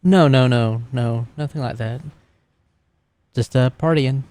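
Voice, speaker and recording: A man's voice: medium pitch, measured pace, mellow, natural voice. A man playing a sheepish, affectionate young woman on the phone, hesitant, evasive and sweet in manner.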